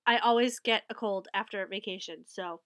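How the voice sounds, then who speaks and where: A woman's voice: medium pitch, moderate pace, fairly bright tone, dry recording, clean studio sound. A woman in her thirties with a head cold, sounding a bit nasal, chatting rambly about her knitting haul to a webcam. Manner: chatty, rambling, self-correcting. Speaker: knitting vlogger. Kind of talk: casual haul and recap vlog. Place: small wood-paneled room with bookshelves. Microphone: webcam at desk.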